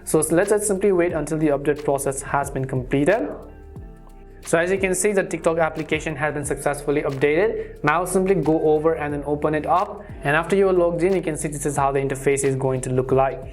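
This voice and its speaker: A man's voice: a little high, fairly quick, soft background music. A man hosting a tutorial channel, narrating instructions step by step in a scripted how-to style.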